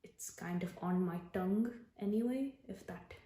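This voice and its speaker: A British-born woman whose first language is Punjabi, talking softly in a general Indian accent she puts on for an ASMR video, often slipping into a whisper. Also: mid-range voice, normal speed. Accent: general Indian, put on for the video. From Britain. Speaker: actor and ASMR creator. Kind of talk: soft-spoken ASMR talk. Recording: roomy echo.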